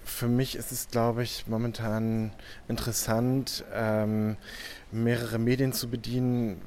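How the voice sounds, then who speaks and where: A man's voice: mid-range voice, flat delivery, measured pace, darkish tone. Young guy from hamburg, artist talking about his work, lots of ums, slight background noise. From Hamburg, Germany. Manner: hesitant, many fillers. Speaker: artist, art school graduate. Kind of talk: interview answers.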